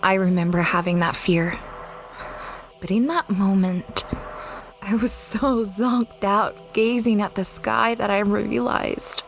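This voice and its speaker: A woman as a slow, dreamy space explorer. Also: medium pitch, low-quality recording. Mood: awed, reflective. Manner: reflective, wondering, conversational. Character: Livia Stargaze, dreamy young female space explorer recounting adventures. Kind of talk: first-person audio drama narration.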